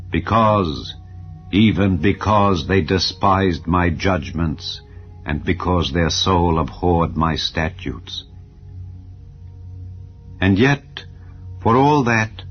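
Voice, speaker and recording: Male narrator, very low pitch, solemn measured scripture reading; muffled audio, quiet music bed. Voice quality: deep, measured and grave. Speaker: scripture reader. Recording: dull audio with soft music. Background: soft ambient music.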